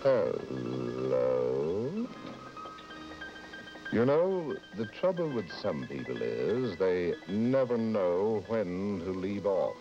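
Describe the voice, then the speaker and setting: A man's voice: low-pitched, big pitch swings, drawn-out words, over music, exaggerated voice. A man playing a sinister, knowing host who introduces an old radio horror show. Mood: ominous, knowing. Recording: muffled vintage broadcast recording.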